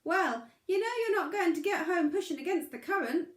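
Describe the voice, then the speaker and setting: A woman voicing a small, wise crayfish in a children's story, gentle, easygoing and coaxing. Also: very high-pitched, expressive, a little fast, reverberant, voice pushed up. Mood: wise, encouraging. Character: kindly little crayfish, wise, easygoing and encouraging.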